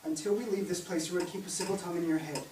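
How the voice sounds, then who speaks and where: A man's voice: high-pitched, steady tone, rapid-fire, a little mellow, natural voice. A man on stage playing a worn-down, stern father who has lost his job and speaks with resignation. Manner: hesitant, resigned, turning stern. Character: worn-down, stern laid-off father of a 1930s-style household. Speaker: stage actor. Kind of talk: staged reading of a period family drama. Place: indoor theatre stage, recorded from afar. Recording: roomy theatre, distant mic, hissy background.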